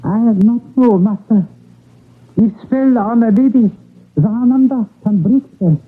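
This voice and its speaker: Husky, slow, deferential servant. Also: male voice, high voice. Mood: fearful, deferential. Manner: halting broken English, solemn. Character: deferential, superstitious island servant warning his master. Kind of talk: radio mystery drama acting. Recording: tinny, narrow-band old radio recording.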